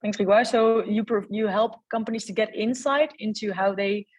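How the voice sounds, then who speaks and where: Female panel moderator, hesitant with fillers, husky tone, distant mic. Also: mid-range voice, steady tone, normal speed. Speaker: webinar moderator.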